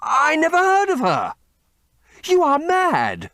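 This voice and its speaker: A man voicing a terrified young gentleman who gasps and pleads in a high voice. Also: huge pitch swings, slow pace, dry recording, clean studio sound, heavily acted. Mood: pleading. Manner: high-pitched gasping pleas.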